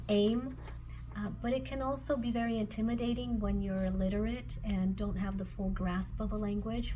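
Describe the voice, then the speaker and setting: Russian-native woman speaker, mid-pitched and unhurried, reflective, faint background hiss. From former Soviet Union. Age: adult with children. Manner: measured, phrase by phrase with pauses. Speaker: author and speaker. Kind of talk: reflective personal talk.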